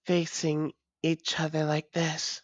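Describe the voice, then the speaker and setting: Woman playing a slow, low-voiced, tender female vampire lover. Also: monotone, dry recording. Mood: fond, intimate. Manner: tender, formal old-fashioned phrasing, often drops into whispers. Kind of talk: intimate romantic audio roleplay, slow and breathy.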